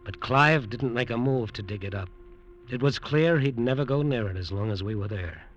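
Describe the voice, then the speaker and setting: A man's voice: medium pitch, moderate pace, muffled recording, natural voice. A man acting in an old radio suspense drama as a desperate, greedy resort builder who narrates his own downfall in an intense, hard-boiled way. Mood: tense, brooding. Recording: old radio recording with music.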